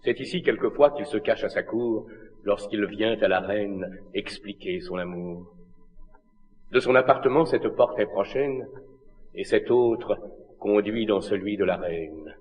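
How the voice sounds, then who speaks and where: A man's voice: lowish voice, measured pace. An actor playing a noble, lovelorn king of classical tragedy, hopelessly devoted, declaiming solemn verse with anguish. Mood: stately. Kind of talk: classical tragedy stage acting. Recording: muffled archive recording, long hall reverb.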